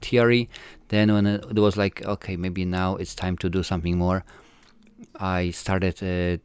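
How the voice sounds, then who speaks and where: Low-voiced Polish man, hesitant and halting. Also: flat delivery, a little mellow, smooth. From Poland. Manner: hesitant, many fillers and restarts. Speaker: filmmaker guest. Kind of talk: casual interview answers.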